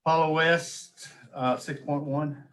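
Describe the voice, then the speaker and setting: A man's voice: on the high side, steady tone, takes it slowly, fairly bright tone. A man presiding over a local government meeting, calling up speakers in a courteous, informal way. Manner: courteous, informal, says sir and ma'am. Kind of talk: procedural meeting moderation. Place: county meeting room. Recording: faintly noisy meeting room microphone.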